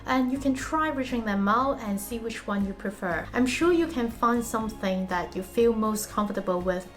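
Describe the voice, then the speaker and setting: A woman's voice: on the high side, normal speed, soft background music. A woman whose first language is Cantonese, earnestly chatting through a vlog about her own learning. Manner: earnest, chatty, self-referencing. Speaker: vlogger. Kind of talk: casual explanatory vlog.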